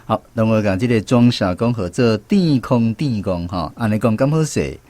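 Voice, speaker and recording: A man's voice: lowish voice, lively intonation, moderate pace, warm tone. A man with a Taiwanese accent hosting an educational language quiz on the radio. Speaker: radio host of a language segment.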